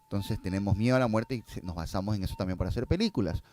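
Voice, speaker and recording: A man's voice: lowish voice, rapid-fire, a little mellow, slight background noise. A man speaking as a filmmaker guest in a casual interview, explaining and telling anecdotes, often tagging phrases with a questioning "no?".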